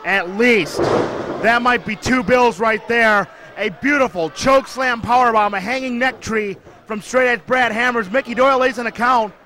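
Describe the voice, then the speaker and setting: A man calling play-by-play for a wrestling show, excitedly naming moves and wrestlers. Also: very high voice, unhurried, noisy background. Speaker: wrestling commentator. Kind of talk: play-by-play commentary.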